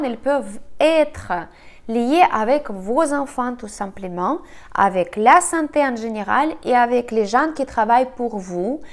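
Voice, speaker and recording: Woman with a cold giving halting advice. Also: on the high side. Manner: halting, frequent fillers and self-corrections. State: slightly congested from a cold. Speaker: feng shui and BaZi astrology consultant. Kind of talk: monologue giving monthly astrology forecast.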